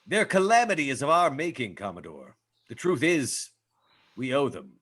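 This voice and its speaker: A man's voice: medium pitch, wildly swooping pitch, moderate pace, fairly bright tone. A man playing a proud, gallant, old-fashioned starship captain with formal, theatrical speech in a script table read. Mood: earnest, defiant. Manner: formal, theatrical, clipped orders.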